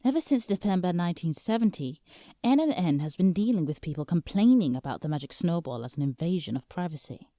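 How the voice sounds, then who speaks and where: British female lawyer, played by an actress, audio comedy. Mellow, close dry sound, businesslike and put-upon. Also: fairly low pitch, expressive, fairly quick, hardly any pauses, dry recording. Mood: briefing, matter-of-fact. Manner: formal, explanatory, dry. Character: exasperated, businesslike female lawyer for Santa Claus. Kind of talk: scripted comedic audio roleplay.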